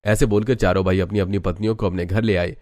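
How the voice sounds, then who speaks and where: A man's voice: low voice, fairly even intonation, fast talker, darkish tone, dry recording, clean studio sound. A man reading scripted storybook narration as the narrator of a cartoon tale.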